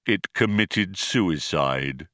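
A man voicing a depressed, self-pitying robot in an audiobook, speaking in a slow, flat, dejected drone. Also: low voice, no echo, studio quality, voice pushed down, full character voice. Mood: bleakly resigned. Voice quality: muffled drone. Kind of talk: audiobook character voice.

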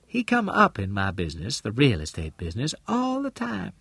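A man voicing an earnest, shrewd woman who works in real estate, speaking in a halting, deliberate way in an audiobook. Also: huge pitch swings, vocal fry, slightly rough, no echo, heavily acted. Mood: wary, frank. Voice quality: low, mellow.

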